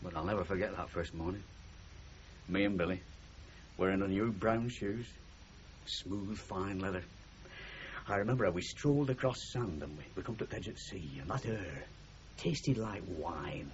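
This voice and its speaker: An actor playing a blunt, sentimental middle-aged working-class husband with a Northern English accent in a radio comedy drama. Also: male voice, sits low, normal speed, natural voice. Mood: wistful, reminiscing. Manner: blunt, emphatic, plain-spoken. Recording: muffled 1970s radio drama recording, noisy.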